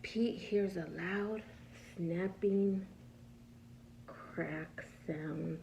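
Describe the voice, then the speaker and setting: A woman reading a picture book aloud as a preschool teacher, pausing to ask small children simple questions and patiently explaining the answers. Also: lowish voice, vocal fry, a little hiss or hum. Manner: animated, asks simple questions and answers them herself.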